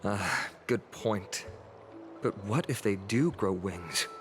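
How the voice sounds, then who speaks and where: Resolute warrior leader in echoing hall. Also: male voice, fairly low pitch, lively intonation, slow pace, fairly bright tone. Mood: thoughtful. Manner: commanding, earnest. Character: resolute male warrior leader, urgent and responsible. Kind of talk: video game voice acting. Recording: long hall reverb, music underneath.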